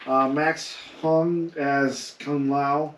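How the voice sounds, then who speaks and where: A man's voice: fairly high pitch, level tone, drawn-out words, fairly bright tone. A man chatting casually about films as a panelist on a podcast. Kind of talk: casual film discussion. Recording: roomy echo, distant mic.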